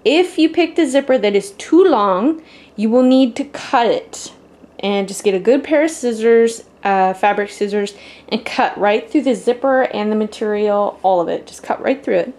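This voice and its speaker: A woman's voice: on the high side, expressive, moderate pace, a little mellow. A woman hosting a craft tutorial, talking viewers informally through each step. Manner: informal, addresses viewers as guys. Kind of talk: casual step-by-step how-to instruction. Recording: echoey room with faint background noise.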